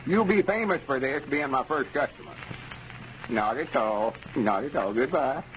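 A self-important backwoods storekeeper acted by a man brags away in a folksy rural Arkansas accent. His voice sits at an average pitch, pompous and pleased with itself. It comes from a 1930s radio comedy recording, muffled, with light scratchy noise. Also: expressive, measured pace, natural voice. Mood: eager, self-important. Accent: Southern US, rural Arkansas.

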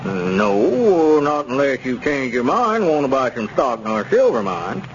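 An actor playing an evasive small-town squire in a 1930s radio serial, blustering and protesting innocence in folksy phrases. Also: male voice, mid-range voice, sing-song, a little slow. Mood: smooth, dismissive. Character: evasive small-town squire peddling dubious mining stock. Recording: muffled, noisy 1930s radio recording.

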